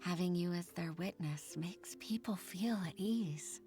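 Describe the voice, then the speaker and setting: A woman voicing a calm, kindly, supportive townswoman in a video game, speaking reassuringly. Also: fairly low pitch, measured pace, music in the background, close to an everyday voice.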